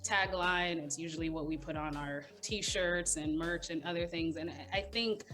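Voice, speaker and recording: A woman speaking as a panelist at a video roundtable, measured and a little hesitant, with fillers. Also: lowish voice, fairly even intonation, fairly bright tone, faint music behind. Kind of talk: video call roundtable remarks.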